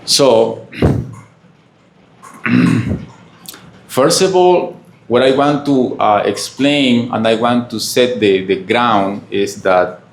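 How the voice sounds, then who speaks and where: Gravelly peruvian-accented man giving a slow halting spiritual lecture, uh's and eh's, asks the crowd stuff, roomy echo. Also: medium pitch, a little hiss or hum. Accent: Peruvian Spanish. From Peru. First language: Spanish. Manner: halting, with many fillers and questions to the audience. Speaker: monk giving a talk. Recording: echoey room.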